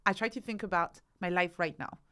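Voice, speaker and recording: Woman guest on an interview, lowish voice, explains stuff thoughtfully, stresses points, lists examples. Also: a little fast, pushed and bright, smooth. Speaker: interview guest, author and researcher.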